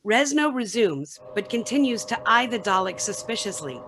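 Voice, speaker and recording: A woman's voice: on the high side, big pitch swings, normal speed, background noise. A woman reading scripted narration and stage directions for a radio play.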